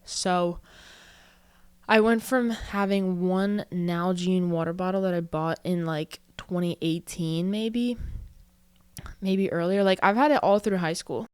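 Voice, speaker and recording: Chatty young woman with a smooth voice. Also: on the low side, fairly even intonation, slow pace, no room sound. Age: early 20s. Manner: chatty, self-reflective, full of "like" fillers. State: a little out of breath. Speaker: podcast host. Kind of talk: casual solo podcast monologue.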